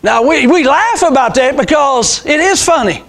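A man's voice: very high voice, animated, moderate pace, a bit bright. A man in his sixties preaching an informal, fervent sermon as a pastor, emphatic and repetitive, with rhetorical questions. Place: small church sanctuary, indoors. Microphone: clip-on lapel mic.

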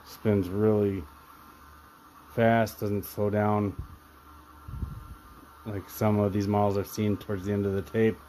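A man's voice: lowish voice, little pitch movement, slow pace, pauses often, warm tone, slight background noise, poor audio. A man giving a casual, off-the-cuff product demonstration of audio gear, speaking from behind a handheld camera in a room.

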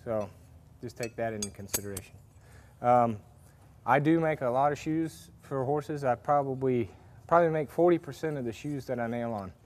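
A man's voice: medium pitch, normal speed, a little mellow, a little hiss or hum. A man giving a casual, rambling farrier demonstration at a workshop with forges, with lots of fillers and restarts. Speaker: farrier instructor. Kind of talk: casual hands-on demonstration talk.

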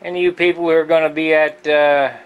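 A man giving a casual, rambling tour of his home model-airplane workshop, halting with fillers and clearing his throat, recorded on a handheld camera. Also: a little high, little pitch movement, narrow, tinny audio. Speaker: model airplane builder, channel host. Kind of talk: casual shop tour vlog. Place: cluttered home hobby workshop, indoors.

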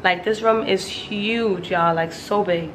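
A woman's voice: medium pitch, takes it slowly. A woman chatting casually to viewers as she gives a tour of a hotel suite and its balcony. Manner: chatty, addresses viewers as y'all. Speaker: travel vlogger co-host. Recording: noisy room ambience.

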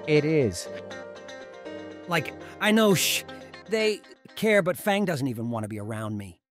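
A man plays a teenage pterodactyl student in a visual novel game. He is earnest and friendly with some hesitancy, pitched a little high, over faint music. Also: animated, measured pace. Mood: troubled, earnest. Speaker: voice actor. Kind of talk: visual novel fan voice acting.

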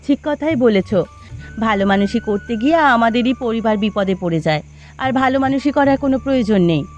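A woman playing a touchy, expressive village family woman in a cartoon, indignant in manner. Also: fairly high pitch, fairly quick, dull audio, soft background music, natural voice. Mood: resigned. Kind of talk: cartoon voice acting.

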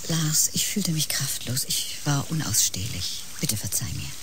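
Slow, low-voiced dreamy woman. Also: very animated. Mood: dreamy, vulnerable. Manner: hushed, halting, often half-whispered. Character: fragile, dreamy woman feeling a strange change. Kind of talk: German science-fiction radio drama acting. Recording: loud rain behind the voice. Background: rain.

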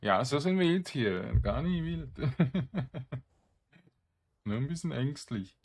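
A man's voice: mid-range voice, lively intonation, takes it slowly, darkish tone, slightly rough. A man giving casual gameplay commentary, thinking aloud in short, loose fragments. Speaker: gamer streaming a let's play.

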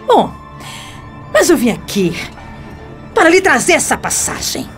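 A woman's voice: high-pitched, huge pitch swings, unhurried, full character voice. An actress playing a scheming, domineering woman in a Brazilian radio soap opera, mocking and theatrical. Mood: mocking, venomous. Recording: music bed under the voice.

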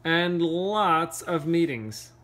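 A man reading a picture book aloud to children, playfully, pausing for casual questions and asides. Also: a little high, animated, a bit bright. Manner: playful, pauses to ask questions. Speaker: read-aloud storyteller.

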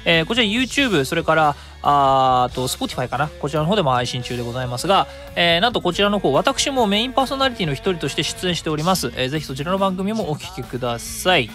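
A man's voice: fairly high pitch, big pitch swings, fast, hardly any pauses, fairly bright tone. A man hosting a web radio show solo in a casual, chatty style, often interrupting himself and voicing his own thoughts. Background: background music.

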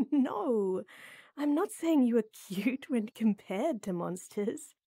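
A woman playing a tall, strong lady knight who flirts and teases softly and coyly in a roleplay audio drama. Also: a little high, animated, very slow, breathy edge, dry recording, clean studio sound, heavily acted. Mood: amused, flirty. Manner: teasing, coy, soft and intimate with chuckles.

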